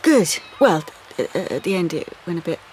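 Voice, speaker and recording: An actress playing a wounded, defiant young woman in an audio drama, with a husky voice and a curt, guarded manner. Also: on the low side, very animated, brisk, darkish tone, slight background noise. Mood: awkward, guarded. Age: young adult. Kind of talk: audio drama monologue and dialogue.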